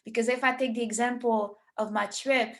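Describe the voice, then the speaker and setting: A woman's voice: fairly high pitch, fairly even intonation, normal speed, a bit bright. A woman, a guest talking earnestly and searching for words, sometimes repeating them as she thinks. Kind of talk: reflective conversational talk.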